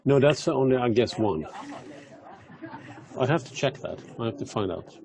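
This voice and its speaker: A man talking casually as a participant in an online work meeting, his voice gravelly and husky. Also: medium pitch, lively intonation, moderate pace, a crowd in the background. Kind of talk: casual work call talk. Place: online conference call.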